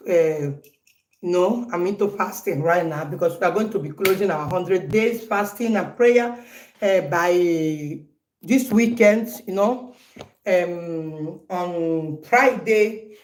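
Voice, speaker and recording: Nigerian woman, 40s, relaxed home vlogger; unhurried, repetitive chatter in a roomy space. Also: lowish voice. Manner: chatty, repeats herself playfully. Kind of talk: casual birthday vlog to viewers. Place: in her home.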